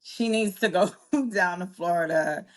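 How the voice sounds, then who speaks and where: A woman in her early forties, originally from Jamaica, talking as a podcast guest. Her voice sits a little low, and she tells her story in loose, circling sentences, stopping often to correct herself and laughing along the way. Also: a little slow. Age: early 40s. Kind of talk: casual interview chat.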